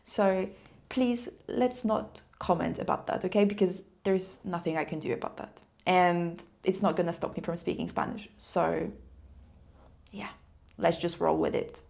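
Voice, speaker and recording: A young woman who is a native Polish speaker, chatting casually and quickly in a vlog, with frequent light laughs. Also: fairly low pitch, steady tone, gravelly, a little mellow. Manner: fast-talking, laughs often, upbeat. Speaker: language-learning vlogger.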